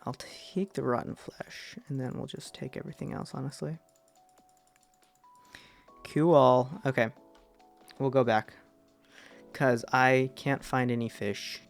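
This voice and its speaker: A young man with a deep voice chatting casually with his stream chat while he plays, rambling and self-deprecating, trailing off with fillers. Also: fairly even intonation, unhurried, creaky, long pauses, soft background music. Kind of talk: casual gameplay commentary to chat.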